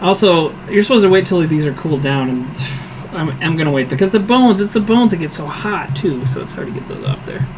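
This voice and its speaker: A hoarse man hosting a casual cooking livestream from his home kitchen, chatty and self-deprecating, answering viewers aloud as he works. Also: on the high side, lively intonation, brisk, noisy background. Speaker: streaming cooking show host.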